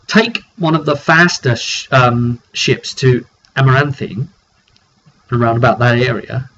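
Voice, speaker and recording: A man giving an informal recap of a tabletop roleplaying session as its game master, telling it emphatically with dramatic pauses. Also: mid-range voice, fairly bright tone. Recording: dull lo-fi sound with clear noise.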